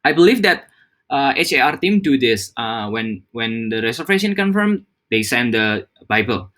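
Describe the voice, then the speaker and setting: A man's voice: mid-range voice, big pitch swings, measured pace, a bit bright. Man coaching colleagues, code-switching casually. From Java, Indonesia. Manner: mixes English phrases into casual speech, many fillers and restarts. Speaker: hospitality staff trainer. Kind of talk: internal training talk.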